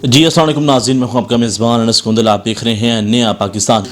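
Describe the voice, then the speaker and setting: A man's voice: mid-range voice, steady tone, fast, over loud music. A man with a Pakistani accent speaks as a host, introducing and interviewing in a news style.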